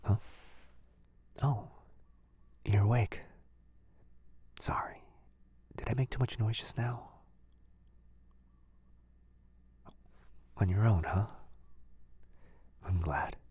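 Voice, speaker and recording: A man playing a kind, caring wizard in a boyfriend ASMR roleplay, murmuring softly and a little hesitantly close to the microphone. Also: fairly low pitch, slow pace, pauses often, full character voice. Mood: gently concerned. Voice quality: soft, breathy, husky. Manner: gentle, hesitant, murmuring, intimate. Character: kind, caring young male wizard, gently fussing. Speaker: audio roleplay voice performer. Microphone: close, dry microphone.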